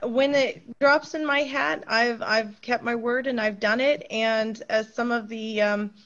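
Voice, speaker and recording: A woman's voice: a little high, steady tone, measured pace, fairly bright tone, lo-fi sound. A woman, an author and actress, speaking earnestly as a guest on a video call interview.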